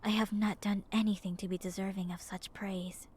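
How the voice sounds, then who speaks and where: A woman playing a humble, soft-spoken nun in a fantasy game, breathy, modest and formal. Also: medium pitch, little pitch movement, normal speed, slight background noise, lightly acted. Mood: humble, gentle. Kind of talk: visual novel character acting.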